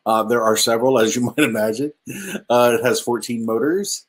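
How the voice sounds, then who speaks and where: Man, middle-aged, husky voice, medium pitch, webcam video call, hesitant casual presenting. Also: a little fast. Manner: hesitant, many ums and restarts, relaxed and chatty. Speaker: presenter at a virtual meetup. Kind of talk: casual presentation over slides. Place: indoor room at home.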